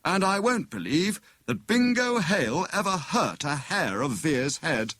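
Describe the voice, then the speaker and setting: Man voicing a distressed, emphatic British gentleman. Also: fairly high pitch, very animated, unhurried, dry recording, pitched above the performer's own voice, exaggerated voice. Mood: stubborn disbelief. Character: haggard, greying upper-class gentleman, stubbornly loyal.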